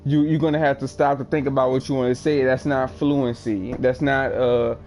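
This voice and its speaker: Male streamer, mid-pitched, casual and rambling, faint music under him. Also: flat delivery, normal speed, a little mellow. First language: English. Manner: rambling, lots of "like" fillers. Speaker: livestreamer. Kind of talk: casual chat while gaming.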